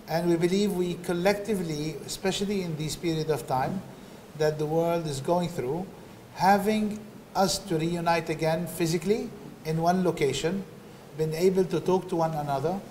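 A man's voice: a little high, darkish tone, a little hiss or hum. A middle-aged man giving an earnest inauguration speech at a podium, with some hesitant fillers. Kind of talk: formal ceremonial speech. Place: indoor exhibition pavilion, at a podium. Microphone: podium microphone.

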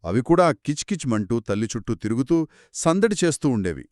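A man narrates a story for children as if chatting with a partner. His medium-pitched voice moves up and down with great animation and expressive exclamations. The recording is dry, with no room sound. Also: brisk, no echo. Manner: expressive, reacts with exclamations and short questions. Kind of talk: conversational children's storytelling dialogue.

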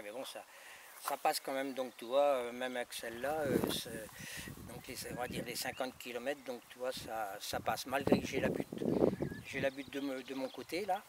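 A man in his fifties holds a relaxed, colloquial radio exchange outdoors, often repeating his phrases. His voice sits at a medium pitch. The camera audio is clearly noisy, with wind around it. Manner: repetitive, colloquial. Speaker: amateur radio operator. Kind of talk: casual two-way radio chat. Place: outdoors by a gravel drive in a rural garden. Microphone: camera a metre or two away.

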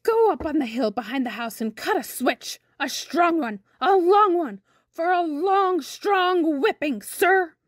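A woman's voice: very high voice, expressive, measured pace, no echo, studio quality, voice pushed up. A woman playing a strict, furious older great aunt who scolds emphatically in a children's storybook.

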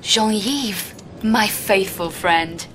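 An actress playing a dry-witted, self-assured young adventuress in a video game, with a poised English accent. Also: female voice, medium pitch, drawn-out words, fairly bright tone. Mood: warm, wry. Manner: poised, wry. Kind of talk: video game cutscene acting. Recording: noisy game audio with ambient sound.

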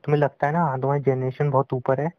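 A man's voice: mid-range voice, flat delivery, racing, no echo. A young man with an Indian accent bantering casually, mock-scolding in playful couple chat. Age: young adult. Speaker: boyfriend on a phone call. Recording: dull, dry lo-fi call recording.

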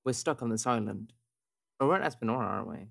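A male player in a tabletop roleplay podcast. His voice is of medium pitch, and he moves between speaking in character and describing actions. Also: fast, vocal fry, a bit bright. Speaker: player in an actual-play podcast.

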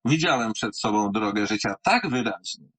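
A man reading and presenting literature in a theatrical, wry manner, with deliberate pauses and a husky voice. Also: lowish voice, animated, a bit bright, poor audio.

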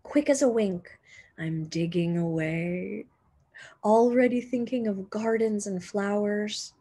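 A young woman, a teacher reading a children's picture book aloud expressively, with deliberate dramatic pauses and emphasis. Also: mid-range voice, dark tone. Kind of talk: expressive children's storybook narration.

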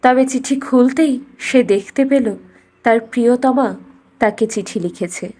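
A woman narrating a scripted cartoon fairy tale as a storyteller, in a measured, deliberate storybook delivery. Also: fairly high pitch, warm tone.

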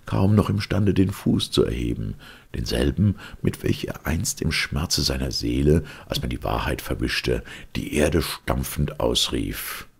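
A man narrating a literary story with solemn, theatrical weight and a gravelly, husky voice. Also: a bass voice, expressive, a little slow. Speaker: audiobook narrator.

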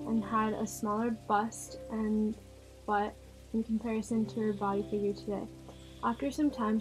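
Even-toned woman narrator, slightly high. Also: rough recording. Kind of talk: scripted biographical narration. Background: music.

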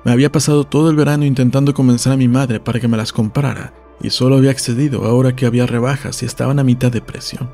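A man's voice: medium pitch, steady tone, quick pace, hardly any pauses, dark tone, faint music behind. A man reading a literary story aloud as a scripted audiobook narration.

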